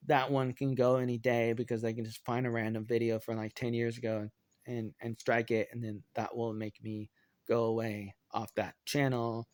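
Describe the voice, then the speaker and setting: American man with a flat, even delivery. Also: mid-range voice, clear, resonant tone, dry recording, studio quality. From Oregon, United States. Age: 30s. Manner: hesitant, halting, repeats himself. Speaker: online video creator. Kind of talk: rambling personal update monologue.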